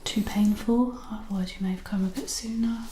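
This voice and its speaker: A woman speaking gently and carefully in a soft, whispery ASMR voice, as a clinician examining a patient. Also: medium pitch, steady tone, a little fast. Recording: echoey room with faint noise.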